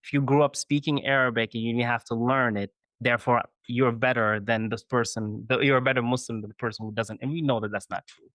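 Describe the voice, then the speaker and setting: A man's voice: medium pitch, fairly even intonation, fairly quick, few pauses, a bit bright, dry recording, low-quality recording. A man, a chaplain guest on an interview podcast, explaining his views thoughtfully and often checking in with "you know" and "right?". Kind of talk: conversational interview.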